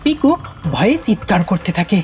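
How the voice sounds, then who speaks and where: A woman's voice: medium pitch, very animated, moderate pace, darkish tone, soft background music. A woman narrating a children's cartoon story in a dramatic, suspenseful storytelling manner.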